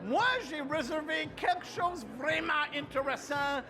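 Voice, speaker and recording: Boisterous English-speaking man, high gravelly voice. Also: unhurried, music in the background. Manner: boisterous, mixes English into French, whoops. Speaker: comedian guest. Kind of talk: hyped-up festival interview.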